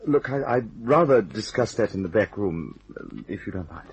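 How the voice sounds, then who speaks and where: An actor playing a nervous, evasive English gentleman who stammers politely, in an old-time radio detective drama. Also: fairly low pitch, sing-song, normal speed, husky, exaggerated voice, rough recording. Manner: hesitant, stammering, courteous.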